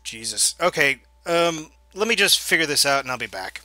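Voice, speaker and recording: A slightly hoarse young man commenting on a game he is playing, goofy and mock-exasperated, swearing now and then. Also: on the high side, expressive, normal speed, pushed and bright, no room sound. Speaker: gaming YouTuber. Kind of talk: let's play commentary.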